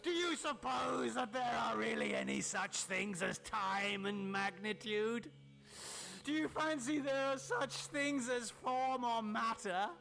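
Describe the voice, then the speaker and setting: A man's voice: extremely high pitch, vocal fry, full character voice, rough recording. A man voicing a mad, gloating scientist full of hatred in a horror audiobook, theatrical and taunting, drawing out his words. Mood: raving, grandiose. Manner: theatrical, taunting, drawn-out words, shouted outbursts. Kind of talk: dramatic horror audiobook character reading.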